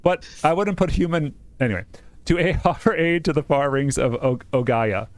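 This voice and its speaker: A man's voice: on the high side, normal speed, no echo. A man doing a casual let's-play, reading game narration aloud and joking about the text as he goes. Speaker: game streamer reading a visual novel aloud.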